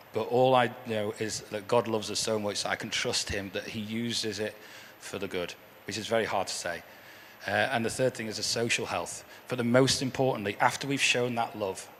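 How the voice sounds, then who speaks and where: Hoarse croaky man, earnest guest speaker, long hall reverb, faint ambience. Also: mid-range voice, a bit bright, a little hiss or hum. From England. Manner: earnest, repeats words when restarting. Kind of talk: church testimony talk.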